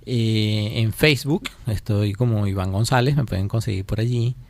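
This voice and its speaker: This is a man with a Venezuelan accent and a fairly low voice with a creaky, gravelly edge. He speaks as a guest in a political radio interview. His delivery is deliberate and emphatic, often restating a phrase to press the point. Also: a little mellow, no echo. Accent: Venezuelan Spanish. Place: radio studio.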